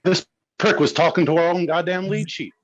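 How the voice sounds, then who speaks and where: A man's voice: sits high, brisk, no room sound, natural voice. A man acting a brash, swaggering businessman in a screenplay table read, on a dull, lo-fi microphone. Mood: brash, aggrieved. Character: brash, foul-mouthed businessman telling a war story.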